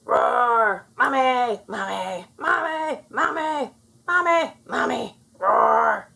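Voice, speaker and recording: A woman playing a boastful, rowdy little red dinosaur in a children's storybook, with shouted, exclamatory roars. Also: on the high side, slow, vocal fry, heavily acted. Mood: rowdy, demanding.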